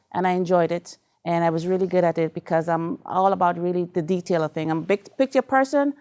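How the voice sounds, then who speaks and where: A woman's voice: fairly low pitch, a little mellow. A middle-aged woman from Trinidad and Tobago with a Trinidadian accent, giving a chatty, candid talk about her life to a live audience. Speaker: speaker.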